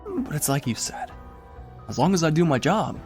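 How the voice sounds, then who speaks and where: A young male rookie cop, acted by a man, outwardly junior but privately sly. His pitch is very animated, in a noir audio drama with faint music behind him. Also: moderate pace. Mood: smug, sly.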